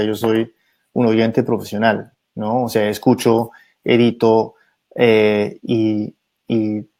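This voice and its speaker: Middle-aged man, radio producer, reflective and halting, mid-pitched. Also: darkish tone. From Peru, raised abroad. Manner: thoughtful, many fillers and restarts. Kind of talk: reflective conversation.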